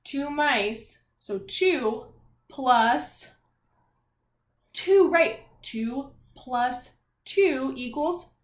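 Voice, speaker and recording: A woman's voice: high voice, lively intonation, very slow. A woman elementary teacher leading a read-aloud math lesson for young children, speaking in an encouraging, singsong, questioning way. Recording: echoey room.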